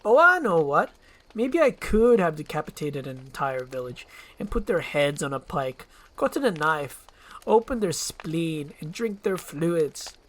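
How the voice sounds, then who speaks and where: A performer voicing a big, gruff, irritable male ogre in a storytelling roleplay, blunt and exasperated. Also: mid-range voice, sing-song, a little slow, fairly bright tone.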